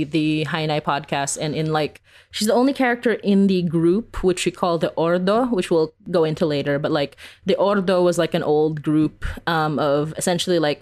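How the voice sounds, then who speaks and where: A woman's voice: on the low side, a little mellow. A woman answering interview questions casually and conversationally, often saying "like" and chuckling as she explains. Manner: peppers talk with "like", chuckles while explaining. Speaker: interview guest, audio fiction creator.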